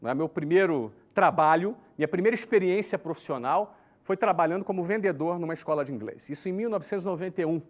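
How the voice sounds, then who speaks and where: A man's voice: fairly high pitch, fast. A man in his forties giving a conversational talk, often checking in with tag questions and listing points in an emphatic rhythm. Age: 40s. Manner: emphatic, lists steps in a lively rhythm. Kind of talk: recorded talk to an online audience.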